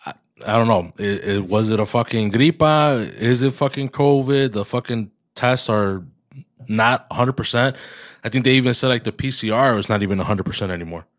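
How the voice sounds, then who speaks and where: Young man, congested podcast co-host; low voice, dry sound, loose bilingual chatter. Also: moderate pace, no room sound. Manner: mixes English with Spanish, swears often. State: congested, coughing. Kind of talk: casual podcast chat.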